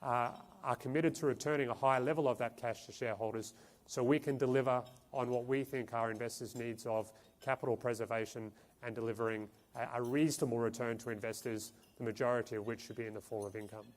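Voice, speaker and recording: A man giving a conference presentation as a fund manager, talking in a halting way with frequent restarts and repeated words. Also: mid-range voice, fairly even intonation. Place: conference stage.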